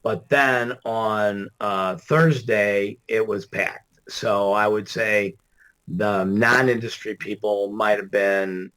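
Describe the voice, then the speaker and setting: Man, middle-aged, hoarse, gravelly, tired, lowish voice, rambling casual guest chat. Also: fairly even intonation, slow pace, a bit bright, low-quality recording. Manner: rambling, laughs often, many fillers. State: hoarse, tired after an all-nighter.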